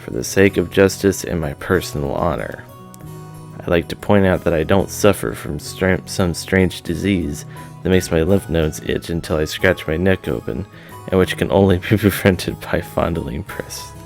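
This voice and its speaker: A man's voice: sits low, moderate pace, vocal fry, faint music behind. A man hosting a visual novel read-aloud, moving between informal chat and story narration.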